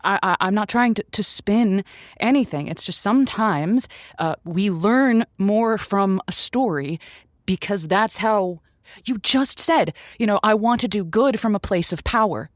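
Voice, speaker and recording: A woman's voice: medium pitch, animated, measured pace, no room sound, studio quality, lightly acted. An actress playing a guarded, defensive woman who hosts a show, in a naturalistic audio drama style. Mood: earnest, uneasy. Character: guarded, defensive woman radio host hiding behind a persona.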